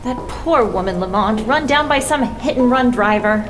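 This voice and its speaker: An actress playing a sleuth's inquisitive, sympathetic companion, questioning and expressive in old-time radio style. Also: female voice, fairly high pitch, moderate pace, music in the background, lightly acted.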